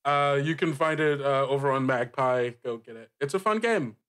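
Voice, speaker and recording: A man's voice: medium pitch, moderate pace, a bit bright. A man hosting as game master, joking theatrically and often laughing through his words in playful podcast banter.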